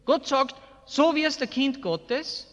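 An Austrian-accented man preaches in a high voice that rings through a reverberant hall. His delivery is blunt and emphatic, in everyday colloquial speech. He often puts rhetorical questions to his listeners. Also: takes it slowly, a bit bright, lo-fi sound. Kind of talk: colloquial evangelical sermon. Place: large hall, preaching to a seated congregation. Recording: echoey hall with long reverb.

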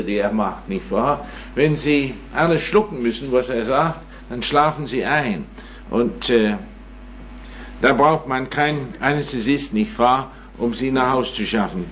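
Rambling man in his nineties lecturing. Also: medium pitch. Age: 90s. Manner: rambling, self-mocking, tag questions, many hesitations. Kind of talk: informal lecture to an audience. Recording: dull recording with faint hum.

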